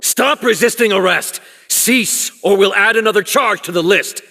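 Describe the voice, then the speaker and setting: A man's voice: high-pitched, moderate pace, gravelly, bright, forceful tone, slight background noise, full character voice. A man playing a harsh, impatient, commanding guard in a video game. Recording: long hall reverb.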